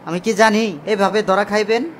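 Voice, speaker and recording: A man's voice: sits high, fairly even intonation, normal speed, slight background noise, natural voice. A man voicing a dim, cheeky getaway driver in a cartoon, talking back in colloquial Bangladeshi Bengali. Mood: cheeky complaining. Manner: literal-minded, back-talking. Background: music.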